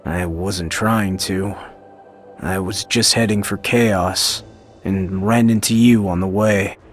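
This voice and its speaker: A young warrior hero, fairly low in pitch. He is blunt, dry and stubborn, with casual defiance, in a fantasy game scene over faint music. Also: steady tone, slow. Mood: dry, wary. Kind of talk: video game cutscene acting.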